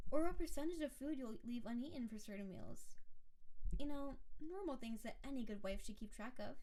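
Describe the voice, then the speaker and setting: Woman voicing a doting, high-voiced yandere snow-spirit wife. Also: a little mellow, lightly acted. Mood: sweet, doting. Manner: sweet, gushing, apologetic, clingy. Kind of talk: intimate audio roleplay, speaking to the listener.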